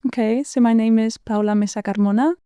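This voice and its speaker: A woman in her twenties from Spain, answering interview questions in a relaxed, chatty way with the odd um. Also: fairly high pitch, fairly quick, clear, resonant tone, no room sound, clean studio sound. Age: 20s. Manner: relaxed, chatty with frequent ums. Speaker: guest.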